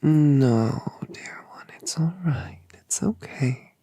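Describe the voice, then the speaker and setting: A woman voicing a colossal, gentle, motherly dragoness in a whispered audio drama, soothing and affectionate with genteel phrasing. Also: sits low, slow pace, no room sound. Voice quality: soft whisper.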